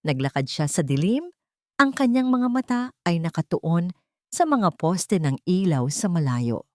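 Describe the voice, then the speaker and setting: Female audiobook narrator, low register, solemn suspenseful drama reading, dry studio-like sound. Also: very animated, unhurried, darkish tone, no echo. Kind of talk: dramatic scripted story narration.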